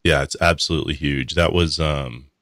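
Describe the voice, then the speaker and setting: A man hosting a podcast, asking relaxed, rambling questions of his guest. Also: extremely low pitch, normal speed, dry recording. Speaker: podcast host. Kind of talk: casual interview chat. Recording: dry close sound.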